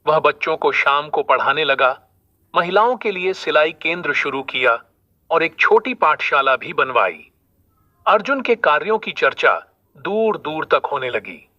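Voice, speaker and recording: A man narrating a scripted moral story as a storyteller, in a measured delivery. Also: a little high, lively intonation, sharp tone, dull audio.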